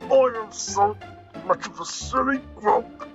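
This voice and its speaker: A man doing a squeaky, clumsy bearded grump. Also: slow pace, fairly bright tone. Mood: panicked. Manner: stammering, squeaky exclaiming. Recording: dull lo-fi recording, faint music.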